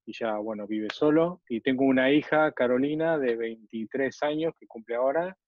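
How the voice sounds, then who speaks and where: A man's voice: mid-range voice, moderate pace. A middle-aged or older man, chatting casually as an interview guest over a video call. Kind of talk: casual conversation. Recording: dull, lo-fi video-call audio.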